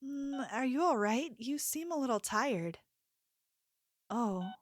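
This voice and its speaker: A woman playing a sweet, attentive young convenience store clerk in an ASMR roleplay, speaking softly with a gentle, hesitant manner. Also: high-pitched, animated, slow pace, dry recording, clean studio sound. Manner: gentle, apologetic, hesitant, solicitous.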